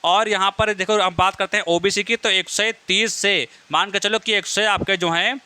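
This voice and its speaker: A man's voice: high voice, fairly even intonation, normal speed, bright, forceful tone, clear, resonant tone. A man giving an informal explanatory lesson as an exam-prep teacher, often circling back to repeat his phrases.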